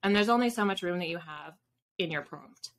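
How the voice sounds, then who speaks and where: A woman's voice: on the low side, brisk, slight background noise. A woman in her thirties chatting casually as an interview guest, explaining things in a rambling way with asides. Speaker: guest author. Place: home room with bookshelves, indoors. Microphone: video call.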